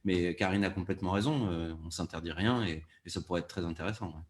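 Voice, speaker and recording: Male panel guest, rapid didactic delivery, lowish pitch. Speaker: audio fiction producer, panel guest. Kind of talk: panel discussion, explanatory.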